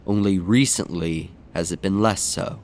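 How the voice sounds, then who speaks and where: An aloof young scholar rumored to be a messiah, in an audio drama. His voice is very low, and he answers in curt, deadpan phrases. A faint rumble sits behind him. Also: unhurried. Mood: curt, guarded.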